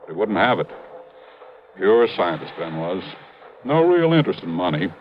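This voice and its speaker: Blustering older American mine owner, booming, gruff, very animated, vintage radio drama, dull sound with background noise. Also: male voice, normal speed. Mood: grieving, grave. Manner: emphatic, gruff, swinging from bellowing to grave. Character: blustering, big-hearted older male mine owner, quick-tempered. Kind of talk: 1950s radio drama acting. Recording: muffled, noisy old radio recording.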